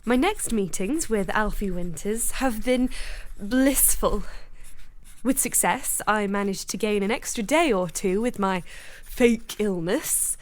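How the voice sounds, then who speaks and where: A woman's voice: medium pitch, slow, lightly acted. A woman playing a shy but spirited, well-bred young lady in a period radio drama, with hesitant, proper diction. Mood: blissful. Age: young adult.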